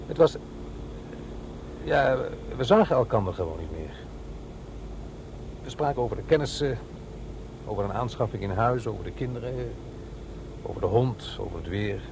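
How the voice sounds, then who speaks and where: An actor playing a middle-aged divorced father in a radio drama, explaining himself in a halting, defensive way. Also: lowish voice, lively intonation. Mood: earnest, explaining. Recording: clear vehicle noise behind voice. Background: car driving ambience.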